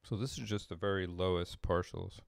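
A middle-aged man giving a casual coding demo as a tutorial presenter, halting and thinking aloud as he works. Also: low voice, fairly even intonation, vocal fry, clear, resonant tone, no echo. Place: small home room, indoors.

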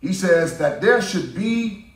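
A middle-aged man preaching a sermon from a pulpit microphone in a church, building his cadence up to shouts. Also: high-pitched, animated, takes it slowly. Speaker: pastor. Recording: roomy church from a distance.

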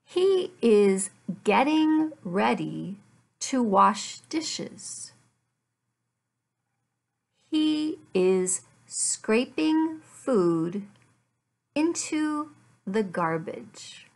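A middle-aged woman teaching English, speaking deliberately with careful enunciation and repeating simple phrases for learners. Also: a little high, very animated, creaky. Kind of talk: slow, simplified language lesson read aloud. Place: furnished room at home.

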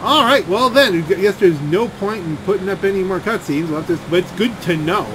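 Higher-pitched man rambling over game noise. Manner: complaining, halting, repeats words. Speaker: gaming video creator. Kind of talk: rambling gameplay commentary. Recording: game and engine noise behind voice.

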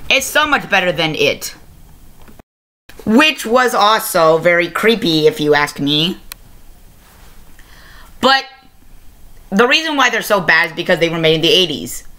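A young adult giving a casual video review to camera, halting and often self-correcting, recorded on a webcam mic in a small room. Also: medium pitch, sharp tone. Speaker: review show host. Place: small plain-walled room indoors. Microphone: laptop webcam microphone at arm's length.

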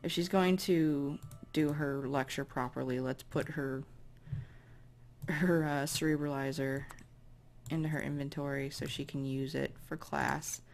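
Female let's-play host, low and raspy, easygoing running commentary. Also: steady tone, unhurried, a little hiss or hum. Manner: chatty, musing aloud while directing characters. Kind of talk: casual gameplay commentary.

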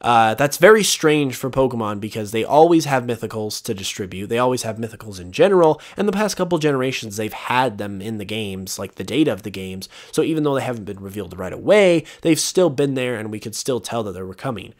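A young man hosting a casual solo podcast, rambling with lots of fillers and losing his voice, clearing his throat now and then. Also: mid-range voice, animated, fairly quick, hardly any pauses. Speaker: podcast host. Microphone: voice-over over gameplay footage.

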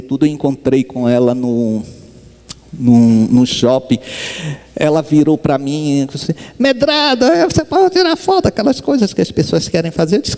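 A middle-aged man from Brazil giving a spiritist lecture, telling stories with lively gestures and acting out the voices he quotes. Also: a little high. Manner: animated storytelling, acts out quoted voices, gestures broadly. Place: stage with sunflower backdrop, large hall. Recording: reverberant hall.

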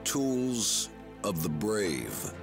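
Gravelly deep male ad voice-over. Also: wildly swooping pitch. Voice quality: gravelly, slow and weighty. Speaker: ad narrator. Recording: voice-over under loud guitar music.

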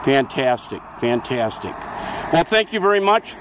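A man's voice: medium pitch, very animated, normal speed, background noise. A man with a friendly, rambling manner, interviewing people outdoors on a town street into a handheld camera.

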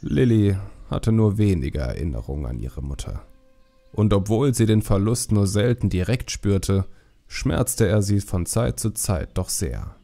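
A man reads a story as an audiobook narrator. His voice is low and creaky, measured and solemn, with a dark warm tone. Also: lively intonation, soft background music.

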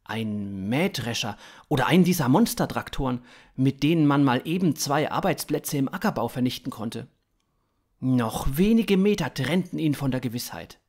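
A man's voice: medium pitch, animated, normal speed, darkish tone. A man in his thirties reading scripted science fiction narration for a story podcast. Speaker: author-narrator.

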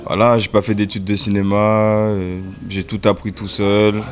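A relaxed man in his thirties from the French Caribbean chats in an interview, speaking with a fairly low voice. He hesitates often, leaning on fillers and a constant "you know". He talks indoors into a desk mic. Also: moderate pace. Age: 30s. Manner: relaxed, hesitant, many fillers and repeated "tu vois". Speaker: music video director. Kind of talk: casual interview. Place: indoor room. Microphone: desk mic in front.

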